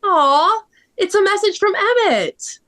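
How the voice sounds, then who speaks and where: A woman's voice: very high voice, expressive, takes it slowly, bright, forceful tone, heavily acted. A woman playing a perky, sentimental sorority sister at a script table read. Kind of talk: amateur table read dialogue.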